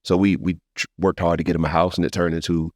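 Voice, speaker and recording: Low-voiced American man hosting a podcast. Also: flat delivery, fast talker. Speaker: podcast host. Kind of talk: podcast intro and casual chat.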